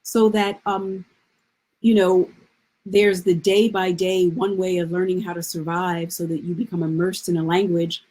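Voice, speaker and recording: Low, mellow, slightly husky woman scholar. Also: flat delivery, a little slow, poor audio. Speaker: guest literary scholar. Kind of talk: explanatory panel discussion. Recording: live online event.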